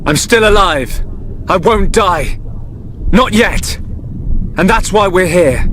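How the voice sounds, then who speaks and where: Casting: a determined young fighter for a game, direct and defiant, raised pitch, noisy backdrop. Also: male voice, lively intonation, slow, fairly bright tone, exaggerated voice. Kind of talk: video game cutscene acting.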